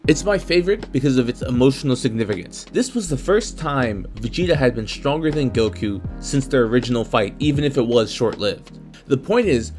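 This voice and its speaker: Young male video essayist, mid-range voice, scripted opinion commentary with faint music underneath. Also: medium pitch. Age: young adult.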